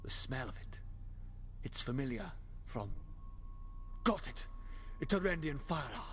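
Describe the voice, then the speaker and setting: A man playing a wry, excitable, pedantic time-travelling alien adventurer in a fan audio drama. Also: medium pitch, unhurried, slight background noise. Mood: intrigued, grave.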